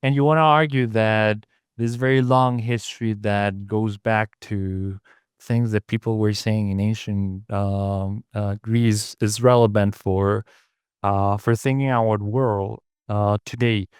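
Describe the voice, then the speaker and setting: A man's voice: fairly low pitch, fairly even intonation, slow, clear, resonant tone, dry recording, studio quality. A man hosting an interview, asking long questions eagerly and repeating words as he forms them. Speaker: podcast host interviewer.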